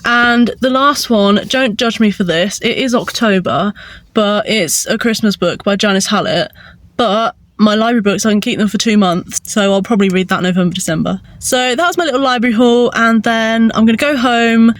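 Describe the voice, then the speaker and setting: A woman's voice: fairly high pitch, moderate pace, no echo. A woman with a congested, bunged-up nose, chatting enthusiastically in a casual book vlog. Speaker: book vlogger.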